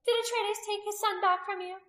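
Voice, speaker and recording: A woman's voice: extremely high pitch, moderate pace, pushed and bright, roomy sound, pitched above the performer's own voice, heavily acted. A woman narrator playing a cruel, commanding pagan priestess in an audiobook story, accusing and imperious.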